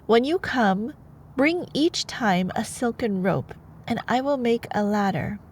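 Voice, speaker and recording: A woman's voice: fairly high pitch, lively intonation, unhurried, slight background noise. A woman voicing a sheltered, earnest young maiden from a storybook.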